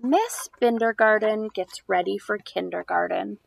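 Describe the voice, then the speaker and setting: A woman's voice: medium pitch, a little slow, vocal fry, no room sound, low-quality recording. A woman in her thirties speaking as a preschool teacher to young children, in an exaggerated sing-song read-aloud delivery. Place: outdoors on a house porch.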